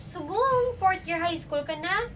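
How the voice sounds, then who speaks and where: A woman's voice: very high voice, lively intonation, unhurried, fairly bright tone, exaggerated voice. A woman acting in an amateur radio drama. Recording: distant mic with faint background noise.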